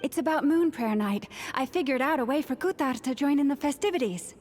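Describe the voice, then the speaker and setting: A soft-spoken young priestess talks in a gentle, slightly high voice. She sounds mild and considerate in a game cutscene. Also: animated, fairly quick. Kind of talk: video game cutscene voice acting.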